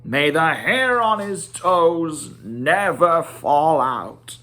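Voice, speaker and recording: A man's voice: a little high, expressive, drawn-out words, bright, forceful tone, exaggerated voice. A man voicing a proud, solemn old dwarf leader who makes pompous, formal speeches in a storybook reading. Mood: grandly solemn.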